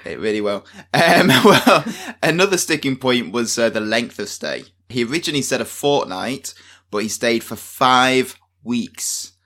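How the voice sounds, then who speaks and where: A man's voice: mid-range voice, expressive, measured pace, a bit bright. A man with a Manchester accent, co-hosting a podcast in casual chat, rambling and self-deprecating with frequent restarts. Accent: Manchester English. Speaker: podcast co-host.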